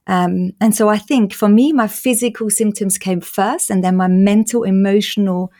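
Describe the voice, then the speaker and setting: A woman's voice: mid-range voice, fairly even intonation, warm tone. A woman in her forties from Austria, with an Austrian accent, speaking openly and earnestly as an interview guest. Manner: earnest, open, quick-flowing. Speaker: guest, patient advocate. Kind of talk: candid interview conversation.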